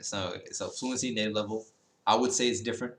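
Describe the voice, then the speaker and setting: A man's voice: on the low side, fairly even intonation, brisk, a bit bright, echoey room. A man in his early twenties, talking casually to camera in a rambling way, full of fillers and self-corrections. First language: Dutch. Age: 20s. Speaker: language-learning YouTuber.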